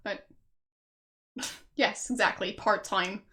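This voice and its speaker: A woman's voice: mid-range voice, takes it slowly, pushed and bright. A young woman from Austria with an Austrian German accent, chatting casually with her stream viewers and laughing often. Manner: chatty, laughs often, answers chat guesses.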